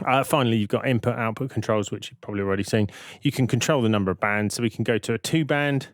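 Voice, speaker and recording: A man narrating a software tutorial in an informal, explanatory way, thinking aloud as he goes. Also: medium pitch, fast, dry recording, studio quality. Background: synth and vocoder demo sounds.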